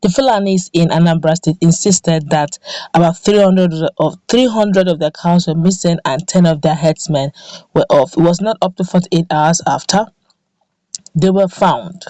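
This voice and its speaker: A woman with a Nigerian accent reads the day's news for her channel in a brisk, even way, stumbling now and then. Her voice is mellow and relaxed, recorded close and dry with no room sound. She sounds like someone reading from a prepared script. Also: on the low side, dry recording. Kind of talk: reading a news report aloud.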